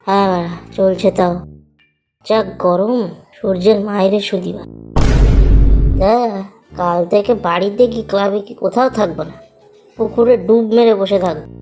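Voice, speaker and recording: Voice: mid-range voice, a little mellow, close to an everyday voice. An actor voicing a foul-mouthed, lazy man in a comedy cartoon, whining and blustering in exasperation. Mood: fed up. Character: foul-mouthed lazy man griping about summer heat. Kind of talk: Bengali comedy cartoon voice acting.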